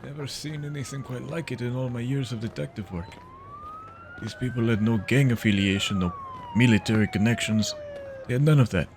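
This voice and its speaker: An actor playing a weary, grim veteran detective in an audio drama, speaking gravely and haltingly. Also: male voice, mid-range voice, noisy background, close to an everyday voice. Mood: grim, shaken.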